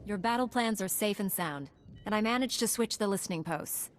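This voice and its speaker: A woman playing a calm, resolute knight in a video game, speaking in a composed, earnest and formal way. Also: medium pitch, fairly quick, natural voice. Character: calm, resolute female Jedi knight hero. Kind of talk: video game hero dialogue.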